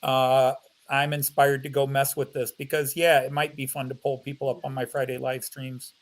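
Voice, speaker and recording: A man's voice: mid-range voice, level tone, normal speed. An American man in his fifties chatting casually on a live call, joking and self-deprecating. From United States. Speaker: model railway streamer.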